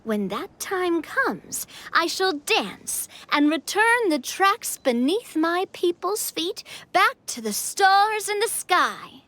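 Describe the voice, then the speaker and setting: Young female game leader: high, lively voice, gracious, self-assured, earnest. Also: slow, hardly any pauses, a little hiss or hum, heavily acted. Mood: solemn recital. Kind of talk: video game cutscene acting.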